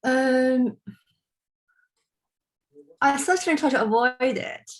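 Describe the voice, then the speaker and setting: Slow, hesitant woman translator. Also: high voice. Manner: hesitant, searching for words, many fillers. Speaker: translator and artist. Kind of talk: online panel discussion.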